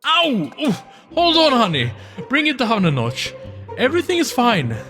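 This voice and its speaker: A woman's voice: a little high, wildly swooping pitch, moderate pace, a bit bright, faint music behind, pitched below the performer's own voice. A woman voicing an easygoing, affectionate dog husband in a visual novel, with a soothing, casual, coaxing manner. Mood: breezy, reassuring. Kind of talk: visual novel character acting.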